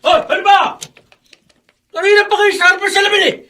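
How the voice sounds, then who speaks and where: An actor playing a shady, impatient, greedy accomplice in a Filipino radio drama, nagging and excitable. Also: male voice, very high voice, quick pace.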